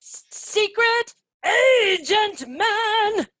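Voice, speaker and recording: Actress as a flying boy, excited, very high, bouncy, bright. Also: very slow, no room sound, clean studio sound, full character voice. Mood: accusing. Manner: excited, shouting. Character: excitable boy who can fly.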